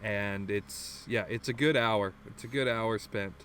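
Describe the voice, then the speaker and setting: A man's voice: fairly low pitch, fairly even intonation, unhurried, fairly bright tone, slight background noise. A man in his thirties from the Toronto area with a Canadian drawl touched by Newfoundland, co-hosting a crude, laughing podcast chat. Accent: Canadian with a Newfoundland-tinged drawl. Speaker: podcast co-host. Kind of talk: casual chat.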